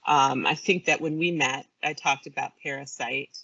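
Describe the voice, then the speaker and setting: A woman speaking as a guest in a casual interview, hesitant and pausing mid-sentence. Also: a bass voice, steady tone, vocal fry, bright, forceful tone, muffled recording. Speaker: guest, creative services business owner.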